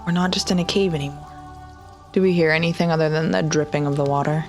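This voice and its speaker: Woman with lots of vocal fry chatting during a fantasy game, music behind. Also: low-pitched, steady tone, fast. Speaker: player in a tabletop roleplaying game. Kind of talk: casual game-table chat. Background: music, rain effects.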